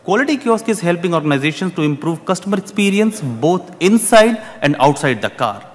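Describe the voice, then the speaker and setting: A man's voice: high-pitched, big pitch swings, fairly quick. Man giving a formal keynote in a reverberant hall. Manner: formal, repeats words when restarting phrases. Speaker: keynote speaker. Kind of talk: prepared conference keynote. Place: large conference hall, at a podium. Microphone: podium microphone.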